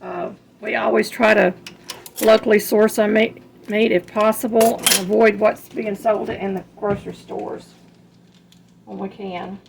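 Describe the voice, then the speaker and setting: A woman chatting casually and in a folksy, unhurried way as she demonstrates home cooking. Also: mid-range voice, little pitch movement. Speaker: home cook hosting a recipe video. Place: home kitchen at the stove. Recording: clear background kitchen noise, frying sizzle. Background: sizzling skillet, stirring.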